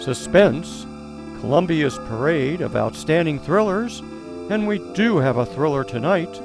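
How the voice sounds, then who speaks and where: A man's voice: a little high, animated, unhurried, gravelly, over music. A man announcing a suspense radio show in a formal, dramatic, scripted old-time style.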